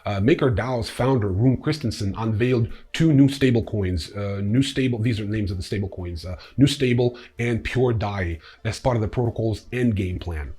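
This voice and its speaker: Husky low-voiced man reading news. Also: normal speed, few pauses, mellow. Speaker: newsreel host. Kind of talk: scripted news narration with casual opinionated asides.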